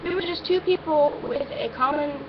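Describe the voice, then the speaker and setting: High-voiced woman reading, muffled noisy recording. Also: normal speed. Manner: informal, stumbles and breaks off with asides. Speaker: amateur reader of a story. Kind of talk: first-person story reading, informal.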